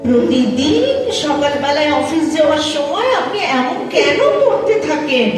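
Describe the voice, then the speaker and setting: A woman acting a modern working daughter-in-law in a stage audio play, teasing and placating, cheeky yet devoted. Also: high-pitched. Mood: soothing. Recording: very echoey distant hall, music behind.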